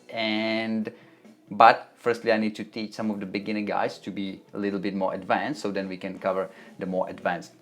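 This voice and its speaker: Czech-accented man hosting a live Q&A. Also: on the low side. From Czech Republic. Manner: chatty, reads chat aloud, frequent restarts. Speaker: web development tutorial host. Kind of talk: live stream Q&A, answering chat. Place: home office, Melbourne.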